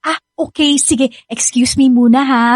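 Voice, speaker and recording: A woman's voice: sits high, unhurried. A Filipino woman playing a sweet, caring young stepdaughter in a radio drama, affectionate and cheerful. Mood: grateful.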